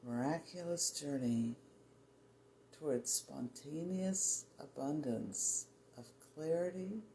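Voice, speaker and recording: Slow, gravelly meditation guide, sex ambiguous. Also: mid-range voice, warm tone. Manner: soothing, measured, with long pauses between short phrases. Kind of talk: guided meditation, spiritual affirmations. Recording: roomy echo, faint background noise.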